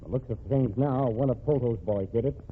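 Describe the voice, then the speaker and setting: Cynical American mob lawyer played by a man, quick, argumentative delivery, vintage radio crime play with narrow, tinny sound. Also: medium pitch, lightly acted. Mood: pleading, testy. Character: slick, defensive male gangster's defense lawyer. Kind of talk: old-time American radio drama acting. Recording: thin, band-limited, noisy old radio recording.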